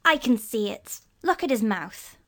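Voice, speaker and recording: Woman as bossy schoolboy, scornful, blunt, miserable, raised pitch, storybook dialogue. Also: animated, a little slow, fairly bright tone. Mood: scornful misery.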